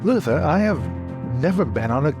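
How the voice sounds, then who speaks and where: A man playing an easygoing professor in a tabletop game, with dry wit and an unhurried manner. The voice sits a little high, under loud music. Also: sing-song. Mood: content.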